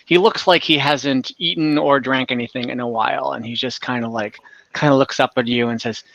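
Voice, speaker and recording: Man, game master, bright forceful voice, dry recording, casual halting narration, conversational. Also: medium pitch, no room sound, rough recording. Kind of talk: casual improvised narration of an online tabletop game. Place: indoors, online call.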